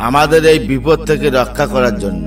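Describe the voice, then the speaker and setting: A man's voice: medium pitch, animated, brisk, lightly acted. A man voicing an anxious village headman in a cartoon. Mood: worried. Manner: anxious, pleading. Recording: distant voice with faint music.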